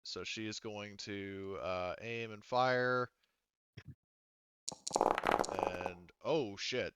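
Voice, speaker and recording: A man's voice: lowish voice, steady tone, very slow, a bit bright. A man speaking informally as a game master, narrating play and calling rules, with some swearing. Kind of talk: casual tabletop game narration and rules calls.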